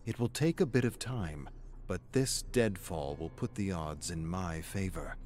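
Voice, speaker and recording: A man's voice: sits low, expressive, unhurried, a little mellow, slight background noise. A man voicing a stubborn, bitter young survivalist with a brooding, defiant manner in a dramatic story.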